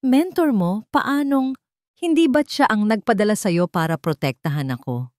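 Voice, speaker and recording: A woman voicing a troubled rich young man in an audio drama, earnest and halting as he confesses an old fear. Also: mid-range voice, animated, a little mellow, no echo, clean studio sound, voice pushed up, natural voice. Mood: shocked.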